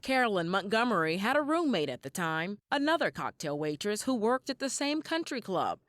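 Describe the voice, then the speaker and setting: A woman's voice: medium pitch, very animated, normal speed, a bit bright, dry recording. A woman with an American accent narrating a scripted television news report.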